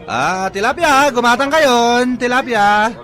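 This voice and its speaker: An actor playing a hapless, excitable, complaining market vendor in an Ilocano comedy radio drama, with an Ilocano accent. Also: male voice, extremely high pitch, unhurried, some chatter behind, exaggerated voice. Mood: hawking. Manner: exaggerated, plaintive. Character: hapless, whining married male tilapia vendor, excitable complainer.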